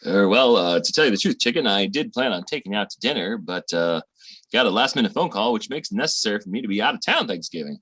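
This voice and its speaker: A cocky sweet-talking moocher in a vintage radio comedy. His husky, forceful voice leaps wildly up and down. He talks in slang and stalls as he bluffs. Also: on the low side, very animated, quick pace, heavily acted. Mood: bluffing. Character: brash, cheap, bluffing sweet-talking boyfriend Al. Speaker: amateur theatre performer. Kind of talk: 1940s radio sitcom acting.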